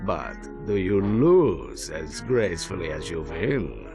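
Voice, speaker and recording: A suave spy-spoof villain, talks with mock courtesy and menace. His gravelly voice is slow and swoops dramatically, over music. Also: low voice, very animated, full character voice. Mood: silky menace. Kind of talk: spy parody dialogue.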